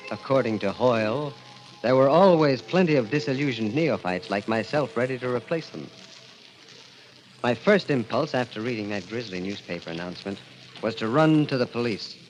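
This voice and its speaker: An actor playing a haunted, thrill-addicted man who tells his own dark story in intense, confessional 1940s radio style. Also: mid-range voice, close to an everyday voice. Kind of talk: 1940s radio acting, first-person narration. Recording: dull old radio recording. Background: dramatic music.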